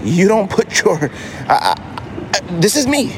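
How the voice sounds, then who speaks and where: Casting: American male podcast host, very unhurried delivery, casual and emphatic, recorded over loud urban street noise. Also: sits high, huge pitch swings. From United States. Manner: emphatic repetition of phrases. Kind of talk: casual solo podcast monologue. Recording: noisy outdoor city street ambience. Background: street noise, traffic, banging.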